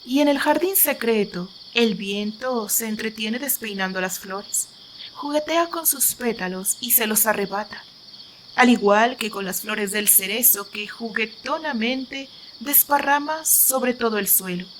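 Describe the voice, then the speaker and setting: A woman storyteller with a fairly high voice and a Mexican accent. She narrates scripted fiction in an expressive, theatrical way. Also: measured pace, a little hiss or hum, low-quality recording.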